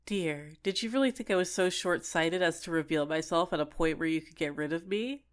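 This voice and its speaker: A woman's voice: low voice, brisk, natural voice. A woman playing an obsessive, sentient dating-sim character in an ASMR roleplay, clingy and coaxing with menacing sweetness. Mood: hurt, possessive. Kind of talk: scripted ASMR roleplay monologue.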